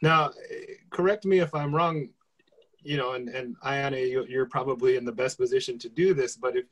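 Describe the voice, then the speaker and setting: A man's voice: mid-range voice, steady tone, moderate pace, a bit bright, dull audio. Man moderating a panel. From United States. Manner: friendly, prompting, with some restarts.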